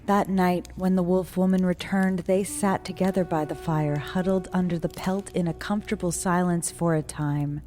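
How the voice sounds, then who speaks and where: A woman with a low voice narrates a dark folk tale. Her reading is measured, solemn and dramatic, like a scripted story. Music runs clearly behind her. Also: fairly even intonation. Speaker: storyteller, guest writer reading own story.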